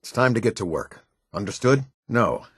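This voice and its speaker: An American actor playing a stern, demanding middle-aged military officer in a video game, with clipped, formal authority and a husky voice. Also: male voice, on the low side, expressive, moderate pace, dry recording, studio quality. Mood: stern, impatient. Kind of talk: scripted game dialogue, military commander.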